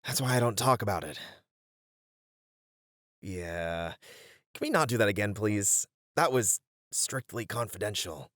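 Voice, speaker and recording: A man's voice: fairly low pitch, measured pace, pauses often, fairly bright tone, dry recording. A man playing a strict, exasperated band manager in a scripted roleplay audio drama, with dry, chiding banter. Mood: reluctant. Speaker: voice actor.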